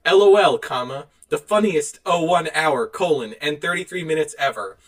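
A man co-hosting a podcast in casual chat, animated and quick to laugh. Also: on the high side. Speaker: podcast co-host. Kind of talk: casual chat, reading out online reviews.

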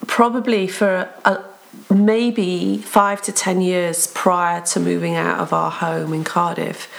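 A middle-aged woman from Wales with an English accent, talking casually as an interviewee, chatty and quick to jump in with her own story. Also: on the low side, flat delivery, creaky, poor audio. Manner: chatty, interjecting, speeding up when telling a story. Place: building site overlooking a town.

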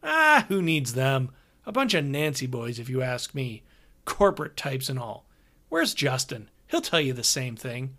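A man's voice: medium pitch, sing-song, a little slow, pushed and bright, heavily acted. A man voicing a pushy, upbeat older road manager who is brash and dismissive. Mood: boastful.

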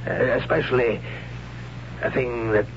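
An actor playing an awed young man who visited a haunted ruin, speaking in a hesitant, halting, hushed way, in a 1970s radio drama. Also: mid-range voice, steady tone, gravelly. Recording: dull lo-fi old radio broadcast with hiss.

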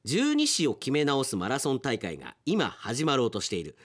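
Animated male radio host with swooping pitch. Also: moderate pace. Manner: animated, reacts with amused asides to what is read. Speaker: radio announcer hosting a podcast aftertalk. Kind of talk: casual radio chat reading out listener mail.

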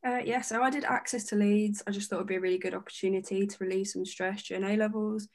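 A woman's voice: mid-range voice, steady tone, fast talker, darkish tone. Young woman, from Kent, first-year student, mid-range voice, easygoing chat. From Kent, England. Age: young adult. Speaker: first-year undergraduate guest. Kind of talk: casual podcast chat.

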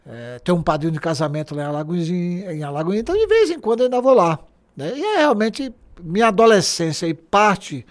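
A man, talking as a guest. His voice sits high for a man and swings widely in pitch as he tells jokey stories. He laughs easily and keeps a loose, chatty flow. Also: brisk. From Bahia, Brazil. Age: 60s. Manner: animated, jokey, laughs often. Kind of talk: casual storytelling interview.

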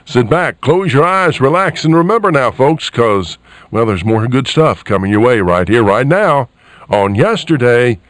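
A man's voice: mid-range voice, very animated, measured pace, no echo. A man hosting a radio show with a folksy, showman-like introduction style. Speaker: radio host.

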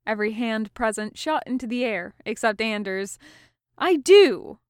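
A woman's voice: a little high, fairly even intonation, normal speed, smooth, no echo, clean studio sound. A woman reading fiction aloud as a scripted narration. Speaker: amateur audiobook reader.

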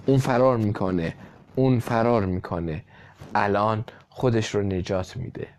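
A man's voice: fairly low pitch, a little mellow, close to an everyday voice. A man voicing a sly farmer who declaims a made-up storybook tale in short repeated phrases. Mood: excited shouting. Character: sly, dramatic male farmer improvising a tale.

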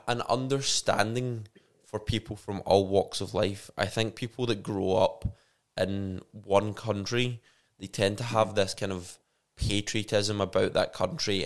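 A man's voice: fairly low pitch, vocal fry. A man hosting a podcast, asking questions in a friendly, enthusiastic way. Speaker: podcast host. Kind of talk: casual interview hosting.

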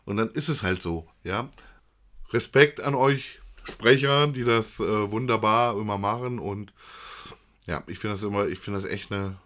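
A man's voice: on the low side, a little slow, fairly bright tone. Casting: man, informal co-host who rambles and talks to the audience. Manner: rambling, addresses listeners directly. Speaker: podcast co-host. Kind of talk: casual chat about audio dramas.

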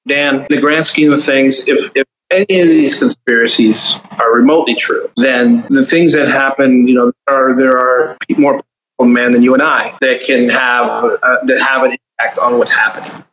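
A man's voice: medium pitch, fairly even intonation, normal speed, a bit bright, lo-fi sound, slight background noise. A man in his forties, a guest on a casual podcast, talking candidly and in a laid-back way. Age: 40s.

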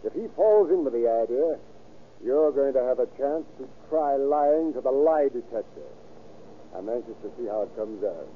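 A man's voice: medium pitch, expressive, normal speed, slight background noise, close to an everyday voice. An actor playing a firm, skeptical lawman questioning a prisoner in a probing, businesslike way, in old radio crime drama style. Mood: skeptical, determined. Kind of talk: 1930s-40s radio crime acting. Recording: tinny old radio recording.

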